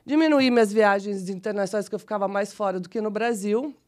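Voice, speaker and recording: A woman in her forties from São Paulo with a Brazilian São Paulo accent, telling her life story as a podcast guest in a chatty, animated, self-deprecating way. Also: mid-range voice, a little fast. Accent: São Paulo Brazilian Portuguese. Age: 40s. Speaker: guest, model and actress. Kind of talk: casual interview storytelling.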